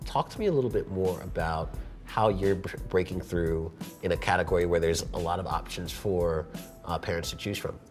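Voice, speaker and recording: A very deep-voiced man hosts a business interview, keen and affirming as he leads his guest. He sits in an open-plan office with a lapel mic, faint music playing behind him. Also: normal speed. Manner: enthusiastic, affirming with frequent yeahs. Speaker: interview host.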